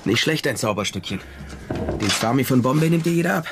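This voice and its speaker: A man's voice: mid-range voice, lively intonation, brisk, noisy background, lightly acted. An actor playing a worldly-wise male private detective in a German radio crime drama, speaking in a dry, colloquial, understated way. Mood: familiar, teasing. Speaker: lead actor and first-person narrator.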